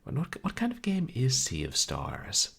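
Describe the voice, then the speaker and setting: A man live-streaming a video game, talking calmly and softly, with a rambling, casual flow full of fillers. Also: medium pitch, normal speed, vocal fry, breathy edge. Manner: soft-spoken, calm, rambling with fillers.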